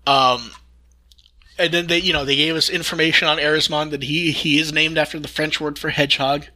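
A man's voice: on the high side, fairly even intonation, fairly quick, bright, forceful tone. A man hosting a casual fan news discussion, talking in an enthusiastic, rambling way and occasionally swearing. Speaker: podcast host.

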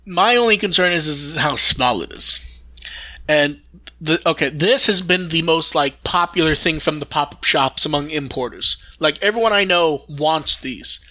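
Bright, higher-voiced man hosting a chat. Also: lively intonation, normal speed. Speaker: podcast host. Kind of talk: casual fan news chat.

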